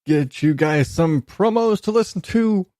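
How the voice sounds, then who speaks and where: A man's voice: high voice, expressive, a little slow, dark tone, dry recording, clean studio sound. A man hosting a solo podcast, talking casually and informally in a self-deprecating, sweary, rambling way. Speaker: podcast host.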